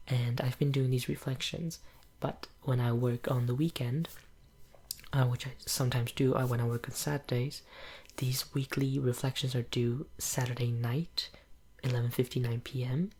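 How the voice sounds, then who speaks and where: A young woman whose first language is Cantonese, chatting in a soft ASMR whisper at home, rambling with lots of fillers. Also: extremely low pitch, steady tone, moderate pace, husky. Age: young adult. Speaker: ASMR channel creator. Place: home room with green screen.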